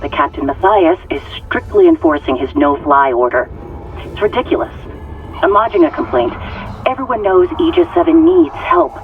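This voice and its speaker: A woman's voice: lowish voice, big pitch swings, moderate pace, creaky. An actress playing an earnest, committed woman scientist recording audio logs in a sci-fi horror game. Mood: businesslike, irritated. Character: committed female hydroponics scientist facing deadly disaster. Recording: tinny comms-like log, music behind.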